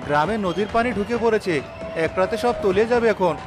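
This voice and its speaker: High, hurried village husband played by a man. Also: music in the background, natural voice. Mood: alarmed, urgent. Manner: urgent. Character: anxious village husband urgently warning of flood. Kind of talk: cartoon story dialogue.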